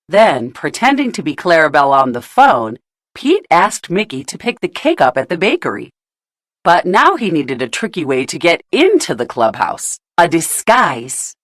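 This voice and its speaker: A woman narrating a children's storybook in an expressive read-aloud style, putting questions to young listeners. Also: deep voice, moderate pace, no echo, studio quality.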